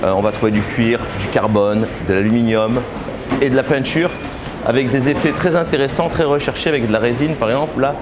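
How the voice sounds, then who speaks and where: A man in his forties, an artist, explaining his work on camera at an art fair, pausing often with fillers. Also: medium pitch, noisy background. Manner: hesitant, many fillers and restarts. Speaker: exhibiting artist. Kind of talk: interview answers on camera. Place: art fair stand indoors.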